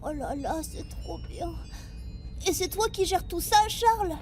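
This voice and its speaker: A woman playing an excitable, wide-eyed seven-year-old boy in a French sci-fi audio drama. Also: very high voice, unhurried, music in the background. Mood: playful, delighted. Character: eager seven-year-old boy intern aboard a space crew.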